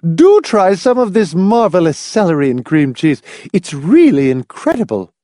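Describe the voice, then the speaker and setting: A woman's voice: fairly low pitch, sing-song, normal speed, dark tone, no room sound, clean studio sound, voice pushed up. A man reading a story, voicing a fussy, gushing, complacent old woman with a prim, matter-of-fact manner. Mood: genteel fussing. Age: older.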